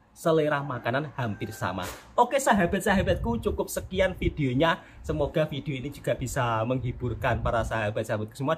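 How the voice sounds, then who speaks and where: A man's voice: mid-range voice, slight background noise. Earnest man hosting a reaction video. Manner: earnest, slow and repetitive in his wrap-up, loud and eager when reacting. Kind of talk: reaction commentary and closing address to viewers.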